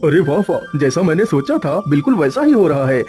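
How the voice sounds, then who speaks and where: A man's voice: a little high, big pitch swings, brisk, warm tone, faint music behind. A man voicing a crafty, stone-hearted cartoon moneylender with a condescending, calculating manner. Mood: sly, calculating.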